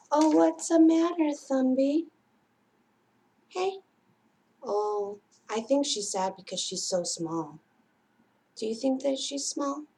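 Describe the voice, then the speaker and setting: A woman's voice: high voice, darkish tone. A woman hosting a story time for young children, speaking in a sing-song way directly to them as a storyteller. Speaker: children's library storyteller and host.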